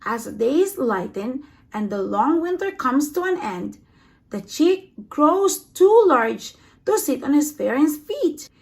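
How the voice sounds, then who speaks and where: High-pitched, expressive woman reading a children's book. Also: takes it slowly, vocal fry. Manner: expressive, acts out sound words with emphasis. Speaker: storybook reader. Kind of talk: read-aloud children's nonfiction picture book.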